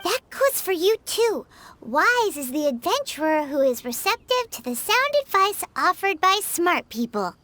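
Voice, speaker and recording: A woman's voice: very high voice, big pitch swings, unhurried, bright, forceful tone, slight background noise, heavily acted. A woman voicing a tiny, chatty female fairy companion who speaks of herself in the third person. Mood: excitable. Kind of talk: video game voice acting.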